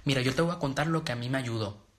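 A man's voice: mid-range voice, fast. Earnest Venezuelan-born man coaching viewers. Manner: earnest, rambling with many restarts and fillers. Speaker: personal development and trading coach. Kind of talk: casual live-stream talk to viewers.

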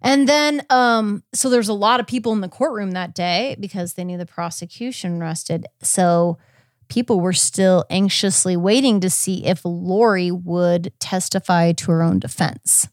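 A woman's voice: fairly low pitch, measured pace, no room sound, studio quality. A woman podcast host chatting casually about a case, often hesitating and correcting herself as she goes. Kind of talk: casual chat recapping a court case.

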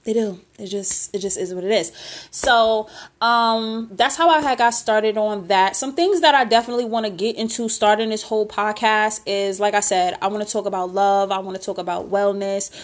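A woman's voice: mid-range voice, fairly even intonation, moderate pace. A woman in her late twenties hosting a casual solo podcast intro, rambling and candid, with lots of filler and mild swearing. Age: 20s. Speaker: podcast host.

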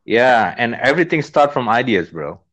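Indonesian man, accented English, hesitant filler-heavy chat, mid pitch. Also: very animated, a bit bright. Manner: halting, many fillers and restarts. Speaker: business trainer. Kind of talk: casual conversation.

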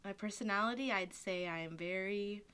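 A woman's voice: mid-range voice, normal speed, fairly bright tone, clean tone. A twenty-year-old Canadian woman co-hosting a podcast in casual, giggly, slangy chat with a friend. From Vancouver, Canada. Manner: informal, youthful slang. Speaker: podcast co-host.

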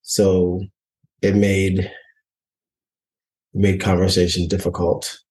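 Man co-host, very deep voice, reflective and halting, recorded from a distance in an echoey room. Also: monotone, a little mellow. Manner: reflective, halting, many restarts. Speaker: podcast co-host. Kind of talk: casual couple chat.

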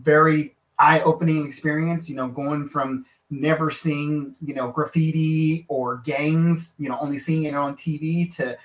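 Guy from kansas rambling through his life story, uh and you know everywhere, bit high voice, roomy echo. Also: fairly even intonation, a little slow, hardly any pauses. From Kansas, USA. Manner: rambling, many fillers and restarts. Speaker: author and lawyer, guest. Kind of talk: casual interview storytelling. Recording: echoey room.

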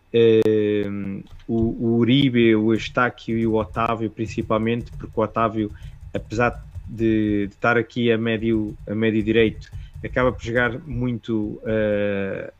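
A man with a European Portuguese accent giving a measured, hesitant analysis on a casual panel. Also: fairly low pitch, no echo. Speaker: football pundit. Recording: dull lo-fi dry sound.

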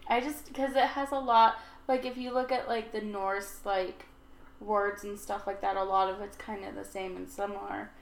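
A woman's voice: medium pitch, steady tone, normal speed, gravelly, echoey room. A woman co-hosting a podcast, chatting casually and hedging her thoughts with "like" and "I just feel". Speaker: podcast co-host. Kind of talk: casual chat.

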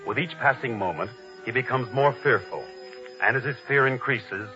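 A man narrating an old-time radio drama in a solemn, measured, dramatic announcer's style. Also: mid-range voice, lively intonation. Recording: dull vintage radio recording with music.

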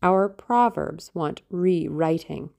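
A woman's voice: on the low side, slow pace, gravelly, a little mellow. A woman voicing a dreamy, impulsive teenage girl in love, theatrical and exclamatory, in an audiobook. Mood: rapturous. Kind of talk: audiobook character acting.

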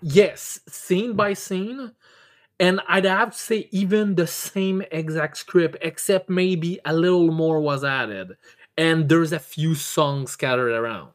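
A casual podcast host with a slow, halting delivery. Words get repeated and stammered as the host enthuses, with emphatic, excitable swearing thrown in. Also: mid-range voice. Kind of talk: casual chat, enthusiastic review.

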